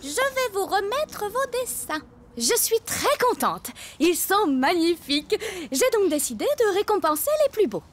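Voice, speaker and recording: A woman's voice: very high-pitched, big pitch swings, a little slow, full character voice. A woman voicing an emphatic, strict but kindly schoolteacher in a children's cartoon. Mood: pleased, announcing. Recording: loud music bed behind. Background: loud music.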